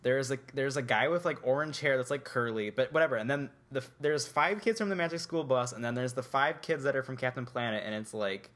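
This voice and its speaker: A man's voice: mid-range voice, brisk, few pauses, fairly bright tone. Rambling young guy, casual podcast chat. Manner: rambling, lots of "like" fillers. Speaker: podcast co-host.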